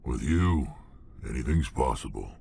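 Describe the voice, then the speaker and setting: An actor playing a gruff, loyal alien warrior in a video game, speaking tersely in a gravelly voice. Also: male voice, a bass voice, animated, a little slow, dark tone, slight background noise, heavily acted. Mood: steady, loyal. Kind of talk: video game cutscene acting.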